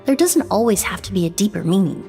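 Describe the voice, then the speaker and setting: An actress playing a composed young perfumer and investigator who explains things in a calm, measured way. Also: female voice, medium pitch, faint music behind. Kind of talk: game character dialogue.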